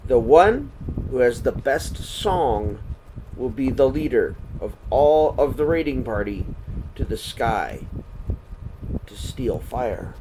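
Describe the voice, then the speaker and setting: A man reading a children's story aloud in a deliberate, expressive way, pausing between phrases. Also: medium pitch, slight background noise, low-quality recording.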